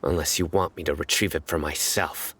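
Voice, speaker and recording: An actor playing a stern, uncompromising enforcer and hunter in a video game, with a formal, grave, commanding delivery. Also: male voice, extremely low pitch, fairly even intonation, fairly quick, fairly bright tone, slight background noise. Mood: grave, warning. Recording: studio voice under prominent music.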